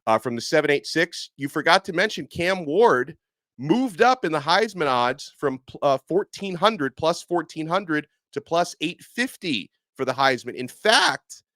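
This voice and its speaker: A man hosting a casual sports podcast, animated and excitable, his voice a little hoarse from yelling. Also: fairly high pitch, measured pace, dry recording. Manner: animated, excitable, with frequent fillers. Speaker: podcast host.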